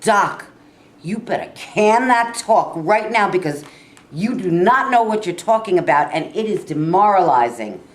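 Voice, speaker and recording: A woman playing a stubborn, proud male college football coach in a staged reading, with an emphatic, deliberate delivery. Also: medium pitch, lively intonation, natural voice. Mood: indignant.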